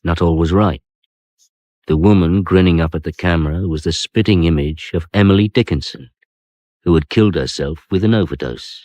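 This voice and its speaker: Male actor as world-weary police inspector, low voice, dry studio sound, radio crime drama. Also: moderate pace, mellow, no room sound, lightly acted. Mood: grave narration. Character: weary, dry male detective inspector narrating his case. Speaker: actor and narrator.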